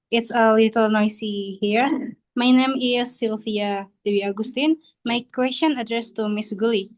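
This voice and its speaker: A woman, an audience member on a video call, politely reading out a prepared question. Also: a little high.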